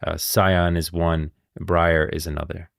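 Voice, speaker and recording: A man's voice: low voice, flat delivery, a little slow, vocal fry, a bit bright, no room sound, clean studio sound. A man streaming casual commentary on game lore, thinking aloud in a rambling way and often repeating himself. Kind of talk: casual live reaction commentary.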